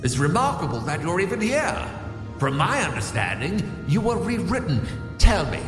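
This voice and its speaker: Man as a cryptic, high-voiced altered double. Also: big pitch swings, moderate pace. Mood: earnest, cryptic. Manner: earnest, cryptic. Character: mysterious, earnest altered version of another man. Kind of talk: audio drama acting. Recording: echoey hall reverb, music behind.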